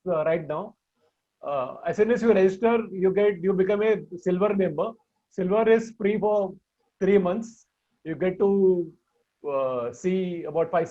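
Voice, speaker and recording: A man with an Indian accent hosts a casual online talk in a distinctly high voice. He speaks haltingly, pausing mid-sentence as he presents. The audio is dull and lo-fi. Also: mellow. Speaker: host presenting a video platform.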